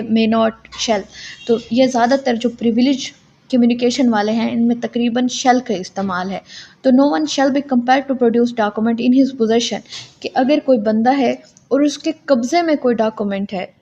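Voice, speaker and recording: A woman's voice: a little high, darkish tone. A woman with a Pakistani accent giving a law lecture, reading out legal text and explaining it in an instructional way. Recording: muffled lo-fi audio with background noise.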